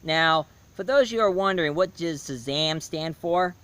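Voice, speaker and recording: A man giving a casual spoken review, hoarse, rambling and correcting himself mid-sentence. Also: on the high side, moderate pace, bright, forceful tone. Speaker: movie and comics reviewer.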